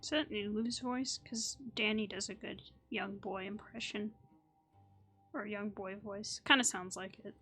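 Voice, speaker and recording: A woman's voice: medium pitch, steady tone, a little slow, bright, forceful tone, breathy edge, faint music behind. A young woman giving casual, playful commentary as she plays a video game. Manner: chatty, playful. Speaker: gaming content creator.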